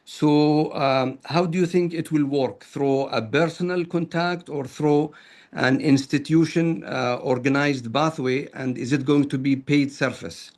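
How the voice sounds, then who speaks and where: A man's voice: fairly high pitch, steady tone, a little slow, darkish tone. A man on a webinar panel asking questions in a polite, formal and somewhat hesitant way.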